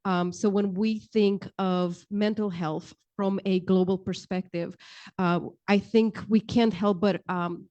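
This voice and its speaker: A woman's voice: medium pitch, steady tone, takes it slowly, mellow, highs cut off. A woman, speaking earnestly on a panel with frequent ums into a handheld mic. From Romania. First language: Romanian. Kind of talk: panel discussion talk. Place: large indoor event hall, seated at panel table.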